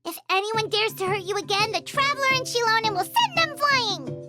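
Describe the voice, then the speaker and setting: An extremely high, squeaky little fairy girl, swoops through every sentence with childlike excitement. She is an exclamatory video game companion, with music playing behind her. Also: fairly quick. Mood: excited, encouraging. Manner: exclamatory, speaks of herself in third person. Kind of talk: video game character acting.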